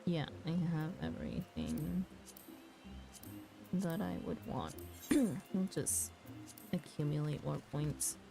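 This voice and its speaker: A woman chatting casually as she streams a game, halting and muttering asides to herself, sometimes reading dialogue aloud. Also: sits low, sing-song, creaky. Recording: music behind the voice. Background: background music.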